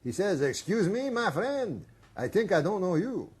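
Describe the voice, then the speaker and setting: A proud, showy fellow back from the States, put on by a storyteller, his husky voice lifted high and swinging with self-importance. He drawls, airily brushing off old friends. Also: very animated, normal speed, a little mellow, heavily acted. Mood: haughty. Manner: puffed-up, airy, pretending not to know old friends.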